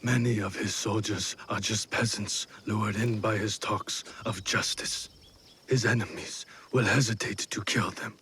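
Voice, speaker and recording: An actor playing a grave, weary and cautious samurai strategist in a video game, speaking in a measured, solemn manner. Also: male voice, lowish voice, steady tone, bright, forceful tone, slight background noise. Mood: somber. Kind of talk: dramatic video game cutscene acting.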